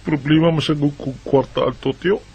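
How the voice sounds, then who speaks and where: A man's voice: a little high, steady tone, moderate pace, darkish tone, breathy edge, lo-fi sound. A man playing a lonely husband who is searching for his lost wife and children, speaking in a weary, plaintive way. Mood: downcast. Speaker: son. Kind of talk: radio drama acting.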